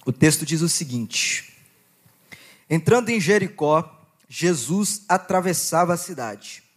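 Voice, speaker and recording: Young preacher guy w/ goiás accent, voice a bit high, jokes w/ the crowd, reads the bible. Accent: Goiás Brazilian Portuguese. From Goiás, Brazil. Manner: chatty and joking with the audience, quieter and lower when praying. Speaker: preacher at a youth conference. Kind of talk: sermon with Bible reading and prayer.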